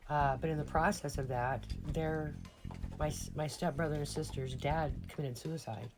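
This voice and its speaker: A woman's voice: sits low, steady tone, moderate pace, over music. A middle-aged American woman telling personal stories in a casual, rambling, reflective monologue. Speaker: personal storyteller.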